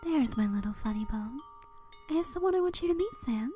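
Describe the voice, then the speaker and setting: A high, soft-toned skeleton mother acted by a woman coos affectionately. She is tender, proud and playful, in a dramatic comic dub with light music behind. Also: animated, brisk. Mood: doting, playful. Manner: cooing, affectionate.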